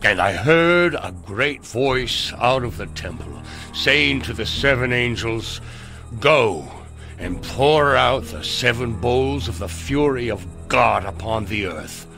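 A man playing a stern, fanatical old gunslinger turned frontier preacher in a video game, solemnly reciting with a gravelly voice. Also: medium pitch, animated, takes it slowly, sharp tone, full character voice. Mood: righteous, menacing. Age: older. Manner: solemn, ominous scripture recital. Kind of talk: dramatic video game acting. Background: music.

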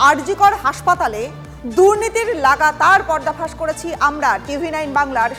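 A woman news presenter reading scripted headlines dramatically. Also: sits high.

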